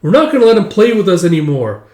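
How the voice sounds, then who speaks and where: A man voicing a small, hot-headed Viking boy who is eager to fight, in an excitable, defiant manner. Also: a little high, normal speed, voice pushed up. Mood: sulky complaint. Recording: echoey room.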